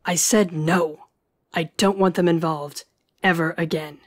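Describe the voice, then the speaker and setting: A woman plays a brooding young werewolf woman, stubborn and heavy with guilt. Her voice is fairly low and husky, and she talks in terse, weary bursts, as in a dramatic audio roleplay. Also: fairly even intonation, a little slow, no echo. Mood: defiant.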